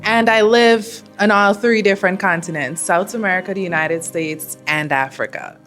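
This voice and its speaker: A woman's voice: mid-range voice, normal speed, a bit bright, soft background music. A woman attorney from Guyana with a Guyanese accent, introducing herself confidently.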